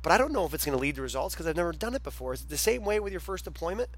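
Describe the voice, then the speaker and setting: A man's voice: a little high, big pitch swings, racing. A man hosting a podcast, enthusiastic and emphatic as he reacts to his guest and asks questions. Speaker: podcast host. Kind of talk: casual interview talk.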